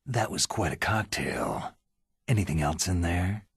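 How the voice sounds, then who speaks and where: An actor playing a gruff, sardonic veteran special forces soldier in a video game, terse and dry, with a gravelly husky voice. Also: male voice, low voice, lively intonation, measured pace, dry recording, heavily acted. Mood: dryly sardonic. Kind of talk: video game cutscene acting.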